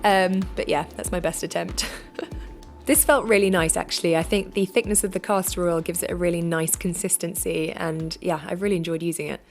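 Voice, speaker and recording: A woman with a slightly croaky voice, chatting cheerfully and self-deprecatingly through a how-to vlog. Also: lowish voice, fairly even intonation, fairly quick, faint music behind. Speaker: vlogger.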